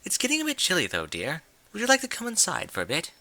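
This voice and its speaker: Prim, motherly lady pony, played by a man; pitched up, bright, refined and fussy storybook voice. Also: huge pitch swings, fast talker, creaky, no room sound, exaggerated voice. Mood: airy, hospitable. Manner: genteel, theatrical, chiding diction. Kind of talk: audiobook character voice.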